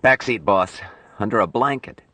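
A man's voice: lowish voice, normal speed, pushed and bright, no room sound, voice pushed up. A man voicing an eager, obedient male handyman with slangy, plain speech in an audiobook. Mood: plain reporting.

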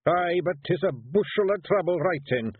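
A man's voice: high-pitched, animated, normal speed, slightly rough, phone-quality sound, pitched above the performer's own voice. A man voicing a fierce, stubborn tiny pixie chief with a broad Scottish accent and a growling bluster. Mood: grumbling.

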